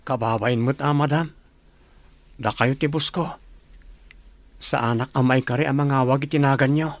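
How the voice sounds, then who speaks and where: A man's voice: mid-range voice, steady tone, moderate pace, no room sound, natural voice. A Filipino man acting in a radio drama as a humble, devoted working man, speaking earnestly. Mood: humble, tender.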